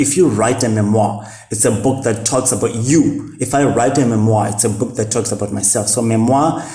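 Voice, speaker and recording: A young Mozambican man whose first language is Portuguese, talking casually in English about a book he likes, halting and often correcting himself. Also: mid-range voice, warm tone, reverberant. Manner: halting, with fillers and self-corrections. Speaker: vlogger. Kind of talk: casual spoken book recommendation.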